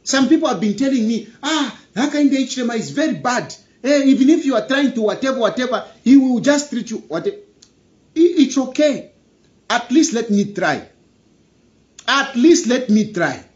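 A young man with a Zambian accent speaks in a very high voice that swoops up and down with plenty of life. He hammers his points home, repeating phrases for emphasis as he comments on politics from a room at home. The webcam audio is dull and lo-fi. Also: animated, moderate pace. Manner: emphatic, repeats key phrases, mixes in local language. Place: indoor room.

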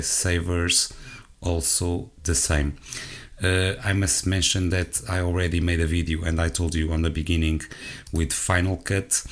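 A man from Portugal with a Portuguese accent, hosting a software walkthrough in English as his second language, hesitant and full of self-corrections, talking straight to his viewers. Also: a bass voice. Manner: addresses viewers directly. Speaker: tech channel host. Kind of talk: screen-recorded software walkthrough.

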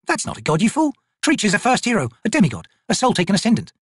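A narrator playing a gruff, sharp-tongued woman corporal in an audiobook, suspicious and drawling. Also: mid-range voice, very animated, fast, no echo, studio quality, pitched above the performer's own voice. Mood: curt, suspicious. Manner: drawling, snapping, sneering.

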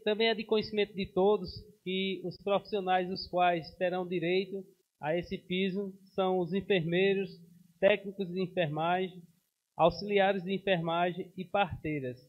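A councilman in his thirties speaks formally from a podium microphone in a council chamber, pausing and restarting now and then. His voice sits high and stays flat in tone. The broadcast sound is dull and muffled. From Brazil. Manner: formal, steady, with frequent hesitations. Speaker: city councilman. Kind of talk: formal speech at a council session.

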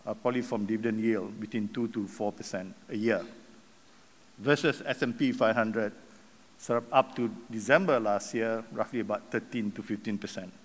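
A middle-aged man at a podium in a big conference hall, his mid-pitched voice carrying a long reverb. He speaks casually, tossing in rhetorical questions and a habitual "right?". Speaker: session host introducing the speaker. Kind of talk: speech from the podium. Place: large conference hall stage. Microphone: lectern microphone.

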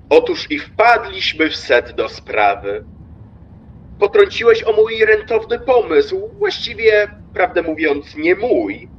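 Man playing slow, pompous stationmaster. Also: a little high, highs cut off. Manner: pompous, self-satisfied, deliberate. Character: smug, pragmatic railway stationmaster. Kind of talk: radio play acting.

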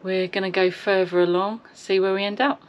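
A middle-aged woman with a medium-pitched voice talks casually, as if to friends on a travel vlog. Her delivery is chatty and informal, recorded on a nearby camera with light outdoor noise. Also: level tone, fairly quick, muffled recording. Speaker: travel vlogger. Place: mostly outdoors on open clifftop.

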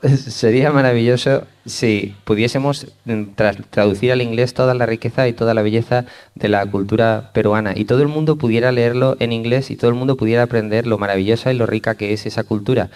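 A man's voice: medium pitch, darkish tone. A man with a Spanish accent from Spain, working as an interpreter relaying a talk to an audience.

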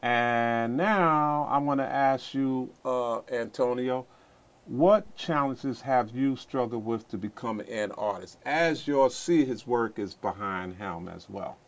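A middle-aged man speaks as the host of an informal talk show. His voice is mid-pitched, and he tends to ramble and repeat his phrases as he puts questions to a guest. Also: takes it slowly. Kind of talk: informal interview. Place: small indoor TV studio.

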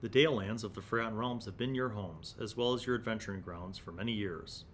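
Middle-aged man, rumbly background noise. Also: quick pace, a bit bright. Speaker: host of a tabletop gaming review series. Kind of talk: casual review commentary, reading magazine excerpts aloud. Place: small indoor studio room. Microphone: desk microphone in front of him.

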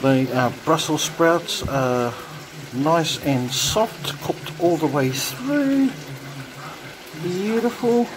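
A man casually narrating his home cooking, relaxed and chatty with frequent ums, filmed on a phone over the stove. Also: fairly high pitch, extremely slow. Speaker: home cook vlogger. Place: home kitchen. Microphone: phone camera held over the stove. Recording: noisy home kitchen phone recording. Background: sizzling and bubbling pots.

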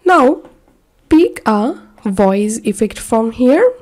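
Female tutorial presenter, high-pitched and soft-toned, plain step-by-step instruction. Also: big pitch swings, drawn-out words.

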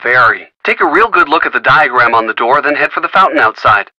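An upbeat game helper who talks players through puzzles in a breezy, chummy way. His tone is very bright and forward, and the dry, close studio read leaves no room sound. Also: mid-range voice, lively intonation, quick pace, dry recording, rough recording. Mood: helpful. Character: friendly male hint-line helper, upbeat. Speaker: in-game hint giver. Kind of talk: studio video game voice acting.

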